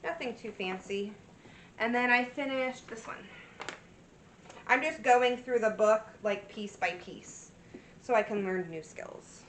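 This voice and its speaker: A woman's voice: on the high side, unhurried, fairly bright tone, slight background noise. A woman in her thirties chatting casually and rambling as she shows her craft projects, her voice a little worn. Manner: rambling, chatty, full of fillers and restarts. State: slightly worn voice. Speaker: cross-stitch vlogger. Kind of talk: casual show-and-tell chat. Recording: echoey room, mic at a distance.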